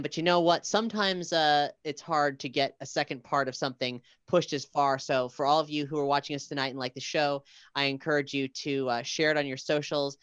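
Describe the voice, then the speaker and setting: A podcast host with a smooth, clean voice in a dry, close recording. The delivery is casual and halting, addressing a livestream audience with announcements and thanks. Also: mid-range voice, fairly bright tone, dry recording. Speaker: host of an audio drama podcast.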